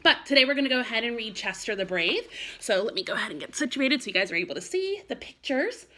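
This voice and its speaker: Very bright-voiced woman teacher for young children. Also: a little high, big pitch swings, fast talker. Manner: addresses children directly, reassuring. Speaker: first-grade teacher. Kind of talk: read-aloud for young children, chatty address and storybook narration.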